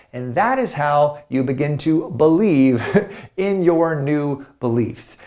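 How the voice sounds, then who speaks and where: A man's voice: mid-range voice, a little mellow. Male life coach, upbeat and chatty, mid-range voice, pep-talk energy. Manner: enthusiastic and conversational, fond of "right?" and "you know". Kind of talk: motivational coaching monologue.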